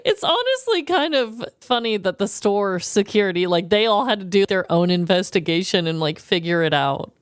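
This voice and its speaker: A woman's voice: mid-range voice, very animated, normal speed, few pauses, smooth, no room sound, studio quality. A woman co-hosting a podcast in casual comedic chat, slangy, chatty and emphatic. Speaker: podcast co-host.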